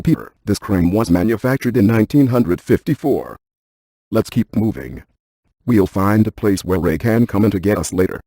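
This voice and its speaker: Deep deadpan scientist. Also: male voice, no echo. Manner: deadpan, precise, clinical delivery. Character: brainy, stiff, deadpan male ghost-hunting scientist. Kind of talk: video game voice acting.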